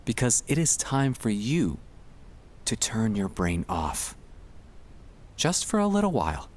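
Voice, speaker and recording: A man speaking in a gentle, soothing and reassuring way, guiding relaxation in an ASMR style with pauses between short phrases. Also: fairly low pitch, huge pitch swings, a little hiss or hum. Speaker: ASMR sleep-aid performer.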